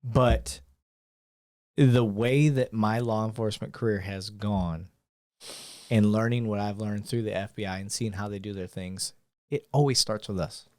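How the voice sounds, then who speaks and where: Low-voiced laid-back podcast host man. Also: fairly even intonation, measured pace, darkish tone, no echo, studio quality. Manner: laid-back, profane banter. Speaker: police officer and podcast host. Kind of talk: casual podcast conversation.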